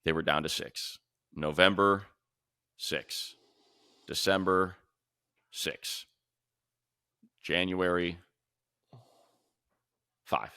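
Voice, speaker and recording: Very bright, deep-voiced man talking sports. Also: fairly even intonation, extremely slow, pauses often, smooth, dry recording. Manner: thinks out loud, listing names. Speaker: sports show co-host and analyst. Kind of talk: casual sports analysis, fan Q&A.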